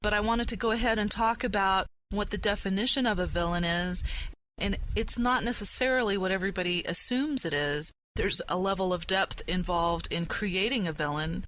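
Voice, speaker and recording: A woman's voice: mid-range voice, moderate pace, fairly bright tone, a little hiss or hum, rough recording. A woman hosting a podcast, presenting topics and leading discussion. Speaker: podcast host.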